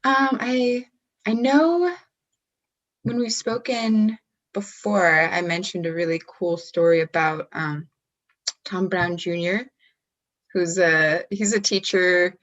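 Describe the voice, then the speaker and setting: A woman who coaches wellness tells a story in an easygoing, conversational way. She hesitates often, filling gaps with "um" and restarting her thoughts. Her voice sits a little on the low side. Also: steady tone, slow pace, pauses often, a bit bright, muffled recording. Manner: hesitant, many ums and restarts.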